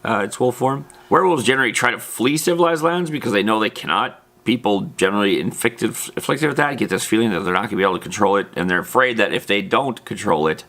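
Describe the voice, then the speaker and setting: A man's voice: on the low side, animated, fast, few pauses, fairly bright tone. A man giving a casual, unscripted explainer as a host, in an informal, matter-of-fact, rambling way. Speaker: host of a tabletop roleplaying lore channel.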